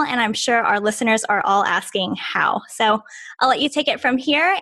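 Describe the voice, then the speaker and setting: A woman's voice: on the high side, a bit bright, no room sound. A woman co-hosting a podcast, reading the show opening and guest introduction in an upbeat way. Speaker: podcast co-host.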